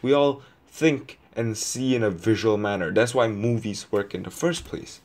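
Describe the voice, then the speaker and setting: A man from Indonesia giving a reflective personal monologue as a video essayist, with deliberate pauses and repetition for emphasis. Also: mid-range voice, darkish tone.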